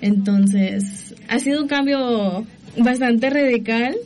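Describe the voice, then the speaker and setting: A young woman from El Salvador, soft-spoken and earnest, telling her story as a guest. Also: a little high, takes it slowly. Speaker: youth group coordinator. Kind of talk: casual interview answers.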